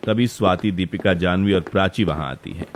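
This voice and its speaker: A man's voice: low voice, a little fast, a little hiss or hum. A man narrating a scripted story as its storyteller. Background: faint music.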